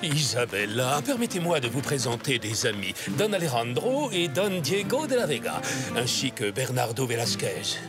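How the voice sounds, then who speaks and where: A man plays a genial cartoon rancher with a mid-pitched, animated voice. He sounds courtly and soothing, with music underneath. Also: moderate pace, lightly acted. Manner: courtly, placating. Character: genial easygoing wealthy rancher, soothing husband. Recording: music behind the voice.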